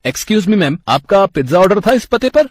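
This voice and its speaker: Man putting on a high-pitched, polite delivery guy. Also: big pitch swings, moderate pace, slight background noise. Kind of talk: animated moral story acting.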